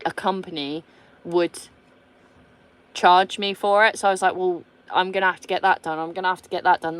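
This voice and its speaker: A woman in her thirties with a British accent, chatting casually on her vlog and reading out viewer comments, blunt, swearing freely and laughing often. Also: fairly low pitch. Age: 30s. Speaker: vlogger.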